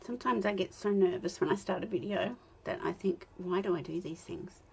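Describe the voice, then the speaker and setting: Croaky-voiced Australian woman, chatty crafter. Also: lowish voice, brisk. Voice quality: slightly croaky. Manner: rambling, self-talk with soft laughs. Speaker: card-making demonstrator.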